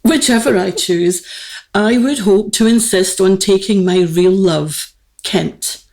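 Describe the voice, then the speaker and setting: A woman's voice: medium pitch, slow, darkish tone. A woman playing an aristocratic, lovelorn queen, grand yet girlish, with stately, formal theatrical diction in a comic radio play. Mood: haughty.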